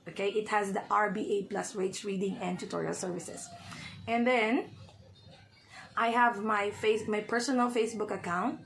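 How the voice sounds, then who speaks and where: Hoarse female tutor, mid-pitched, chatty vlog delivery with frequent check-ins, faint room noise behind. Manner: chatty, often checks in with "okay?". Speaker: reading tutor. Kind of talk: casual vlog talk to viewers.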